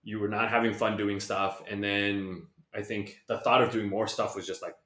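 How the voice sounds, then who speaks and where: A man's voice: fairly low pitch, steady tone, normal speed, a bit bright. A man from Malaysia, a podcast guest, talking casually with lots of fillers and restarts. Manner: rambling, many fillers. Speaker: podcast guest, venture partner. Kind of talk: casual conversation. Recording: echoey room.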